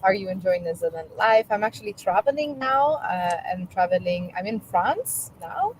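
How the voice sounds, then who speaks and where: A woman hosts a company live stream over a video call. She talks at a middling pitch in a chatty, friendly way, with small laughs. A faint hiss of background noise sits behind her voice. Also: expressive, normal speed, low-quality recording. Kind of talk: casual live-stream chat.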